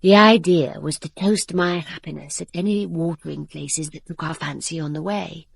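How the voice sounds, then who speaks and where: An actress playing a guarded young bride-to-be who works in a garage, reflective and controlled, in a radio drama. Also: female voice, deep voice, fairly even intonation, moderate pace, creaky, husky, dry recording, full character voice. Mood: brooding, controlled. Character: young garage-working bride-to-be, guarded, suspicious, narrating her betrayal. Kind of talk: radio drama acting with confessional narration.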